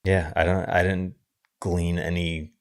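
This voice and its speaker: A man with a low voice chats about movies as a podcast co-host. His delivery is thoughtful and halting as he feels his way through his reflections. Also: little pitch movement. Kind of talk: casual film discussion.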